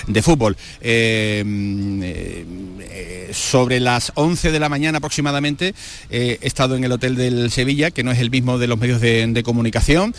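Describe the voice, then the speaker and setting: A man's voice: mid-range voice, moderate pace. A man reporting live for radio from an outdoor square full of fans, chatty, with many filler sounds and restarts. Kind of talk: live on-location sports report.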